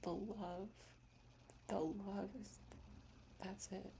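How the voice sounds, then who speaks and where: Slow-whispering woman with gravelly tone. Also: medium pitch, level tone, slight background noise. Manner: soft whispering, slow and reflective, emotional. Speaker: ASMR creator. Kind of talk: whispered personal ASMR talk.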